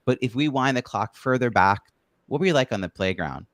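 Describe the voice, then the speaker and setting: A man's voice: medium pitch, animated, brisk, no room sound. A man hosting a podcast interview, outgoing and talkative, building up long conversational questions phrase by phrase.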